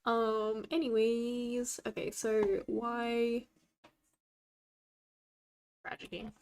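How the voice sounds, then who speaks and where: A woman's voice: fairly high pitch, level tone, extremely slow, noisy background. A young Australian woman in casual chat, giggly and self-mocking, muttering at her screen as she types. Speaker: co-host. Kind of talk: casual worldbuilding chat while typing.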